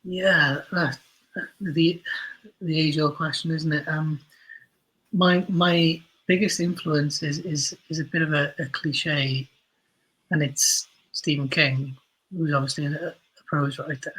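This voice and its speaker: A man's voice: a little high, fairly even intonation, slow pace, a bit bright, breathy edge, rough recording. A man answering casually as a podcast guest, thinking aloud in a hesitant, thoughtful way. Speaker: podcast guest, audio drama writer.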